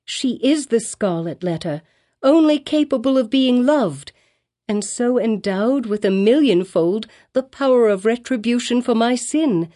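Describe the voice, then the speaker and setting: Frantic young mother, high and pleading, read by a woman narrator. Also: animated, a little slow, warm tone. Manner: pleading, rising to a near shriek. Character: desperate young mother defending her child.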